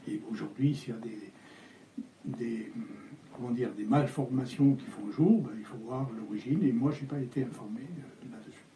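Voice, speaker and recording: Older guy, spanish first language, stops and restarts a lot, super dark mellow tone, echoey room w/ faint ambience. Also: mid-range voice, slight background noise. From Algeria. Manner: hesitant, halting with many fillers and restarts. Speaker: architect guest. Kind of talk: interview.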